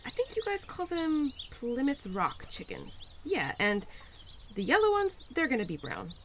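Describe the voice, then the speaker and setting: A woman voicing a cheerful, playful young spirit of Spring in an audio roleplay, gentle and affectionate with a giggly, easily flustered manner. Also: high-pitched, huge pitch swings, a little slow, background noise, heavily acted. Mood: chatty, fond. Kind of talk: audio roleplay addressed to the listener.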